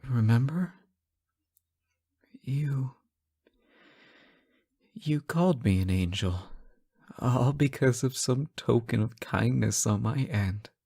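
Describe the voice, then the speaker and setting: A man playing an anxious, devoted fallen angel in an emotional audio roleplay, speaking haltingly and pleadingly to the listener. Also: medium pitch, lively intonation, creaky, mellow, slightly rough, full character voice. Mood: tender.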